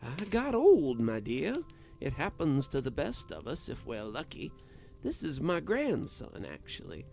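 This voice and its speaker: A man's voice: fairly high pitch, wildly swooping pitch, unhurried, vocal fry, darkish tone, faint music behind, heavily acted. A man voicing an old grandfather who answers teasing with dry humor, in a storybook reading. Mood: wry. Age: older.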